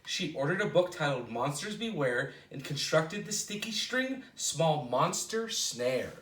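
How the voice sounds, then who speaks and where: Bright-voiced man reading to kids, roomy echo. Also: a little high. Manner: expressive, playful delivery with drawn-out dramatic words. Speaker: children's storytime host at a library. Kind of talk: animated picture-book read-aloud for children. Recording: roomy echo, distant microphone.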